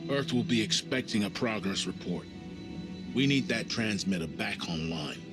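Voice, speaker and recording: A man's voice: lowish voice, lively intonation, normal speed, close to an everyday voice. A man playing a stern, decisive spaceship commander in a sci-fi horror game, with a clipped, authoritative delivery. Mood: measured, concerned. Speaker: voice actor in a video game. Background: music.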